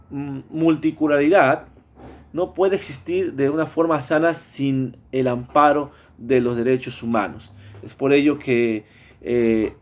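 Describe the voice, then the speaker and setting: A man from Mexico with a Mexican Spanish accent, presenting a class project in a hesitant way, with many fillers and restarts. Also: mid-range voice.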